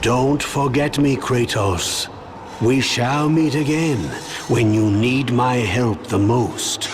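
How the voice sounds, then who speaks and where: An actor playing an eccentric old gravedigger, cryptic and amused, in a dramatic video game style. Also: male voice, mid-range voice, takes it slowly, noisy background, close to an everyday voice. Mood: cryptic, knowing. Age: older. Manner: cryptic, chuckling, folksy.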